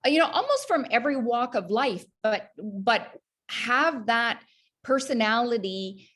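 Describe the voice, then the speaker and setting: A woman from Canada speaks as a guest on a health interview. She talks earnestly and encouragingly, pausing on fillers and restarting phrases as she explains her coaching work. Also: a little high, lively intonation, a bit bright. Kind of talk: casual interview talk.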